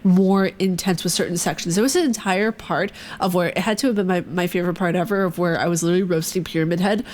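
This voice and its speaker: A woman in her thirties talks in a relaxed podcast chat. She tells her stories with animated energy and laughs often. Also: fairly low pitch, fast, few pauses. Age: 30s. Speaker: podcast guest. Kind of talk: casual chat.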